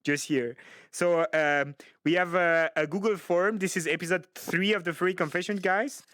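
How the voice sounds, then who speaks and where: A man's voice: high-pitched, steady tone, normal speed, fairly bright tone, dry recording, clean studio sound. A man hosting a live stream, reading viewer confessions aloud in a playful, theatrical way and laughing often. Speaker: streamer host.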